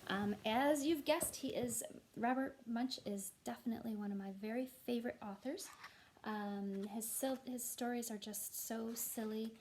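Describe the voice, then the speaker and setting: A woman's voice: fairly high pitch, darkish tone, slight background noise. A woman teacher reading a children's story aloud expressively, with casual, encouraging asides.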